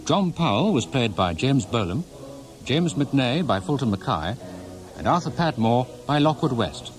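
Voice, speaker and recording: A male continuity announcer presents a radio serial. His delivery is formal and measured, at a middling pitch, with music clearly playing behind him. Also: sing-song. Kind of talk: scripted radio drama credits and introduction.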